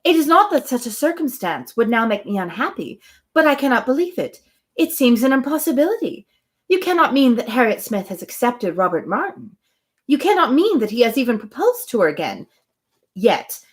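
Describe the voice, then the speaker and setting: A woman reading a classic novel aloud, giving the dialogue an expressive, shifting delivery, then chatting about the story. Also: on the high side, brisk. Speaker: reader and host.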